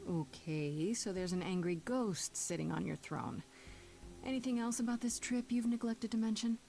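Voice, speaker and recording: An actress playing a determined, blunt young mortal heroine in a video game, earnest and questioning. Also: female voice, medium pitch, normal speed, gravelly, dark tone, lightly acted. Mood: skeptical. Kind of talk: dramatic video game voice acting. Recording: music under the voice.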